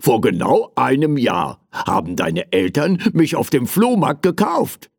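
A man's voice: medium pitch, sing-song, measured pace, vocal fry, breathy edge, no room sound, clean studio sound, exaggerated voice. A man voicing a small, childlike teddy bear in a storybook, eager and plaintive. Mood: matter-of-fact.

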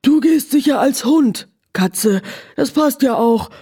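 A woman's voice: sits high, expressive, slow, dark tone, husky, pitched above the performer's own voice, exaggerated voice. A woman voicing a worried, whining horse in a children's radio story. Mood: indignant.

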